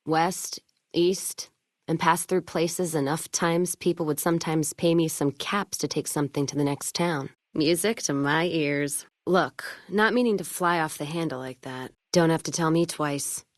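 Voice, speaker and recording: A woman's voice: low-pitched, a little slow, no echo, studio quality. A woman playing a tough, foul-mouthed, vengeful caravan trader in a video game, talking in a blunt, folksy, wry way. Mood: wry, easygoing. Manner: blunt, folksy, wry drawl of plain talk. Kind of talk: video game dialogue acting.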